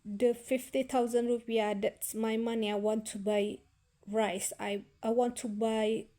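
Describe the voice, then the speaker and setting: Very slow-talking Indonesian woman. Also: a little high, warm tone. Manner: halting, searching for words, many fillers and restarts. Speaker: vlogger. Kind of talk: casual storytelling to camera.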